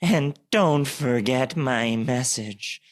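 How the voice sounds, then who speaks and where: Man playing a slow, eerie, commanding young witch. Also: medium pitch, no echo, studio quality, close to an everyday voice. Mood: menacing. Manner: slow, stern, deliberate pronouncements.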